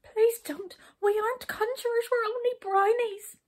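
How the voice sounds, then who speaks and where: A woman's voice: animated, moderate pace. A woman voicing a small, naughty male brownie in a children's story, timid, plaintive and tearful. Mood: frightened, pleading. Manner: plaintive, wailing, high-pitched pleading.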